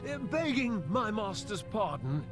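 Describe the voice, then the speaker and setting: An actor playing a cringing, servile attendant to a vampire lord in a video game, hesitant and deferential. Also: male voice, high-pitched, big pitch swings, unhurried, over music. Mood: timid, deferential. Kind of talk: dramatic scripted game dialogue.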